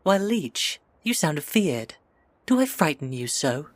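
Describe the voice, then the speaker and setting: A man's voice: a little high, sing-song, measured pace, dry recording. A man playing an entitled sixteen-year-old village bully with haughty, taunting archaic speech in a period audio drama. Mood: taunting, menacing.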